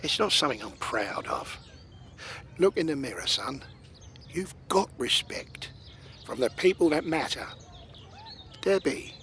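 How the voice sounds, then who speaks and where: A man's voice: medium pitch, very animated, unhurried, long pauses, a bit bright, slight background noise. An actor playing an older London ex-convict in a radio play, fatherly and streetwise as he gives a weary warning. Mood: fatherly, earnest. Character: older male ex-convict villain, fatherly, gravely cautioning.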